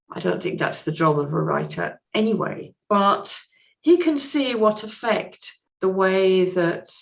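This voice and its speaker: Woman, noticeable vocal fry, fairly low pitch, measured, considered, plain-spoken, conversational podcast guest. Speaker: podcast guest, literary commentator. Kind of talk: conversational literary discussion.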